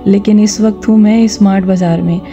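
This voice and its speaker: Woman, very dark mellow voice. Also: medium pitch, steady tone, brisk, lo-fi sound, soft background music. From India. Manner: instructive, addressing viewers directly. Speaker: vlogger. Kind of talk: vlog voice-over with gentle religious advice.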